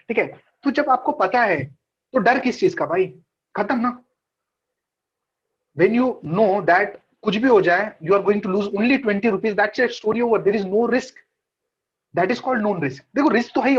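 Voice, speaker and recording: A man teaching trading over a video call, his voice pitched high and a little dull through lo-fi call audio. He talks in a chatty way, mixing Hindi and English and repeating his points. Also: breathy edge. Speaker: trading mentor. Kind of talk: casual online trading class.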